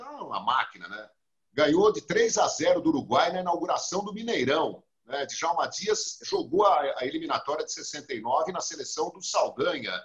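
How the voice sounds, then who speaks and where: A man's voice: mid-range voice, lo-fi sound. A man with a Brazilian Portuguese accent hosting a talk show over a video call, telling stories and acting out quotes from other people. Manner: storytelling, lively quoting of others. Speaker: host. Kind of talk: casual talk show chat. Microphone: video call webcam.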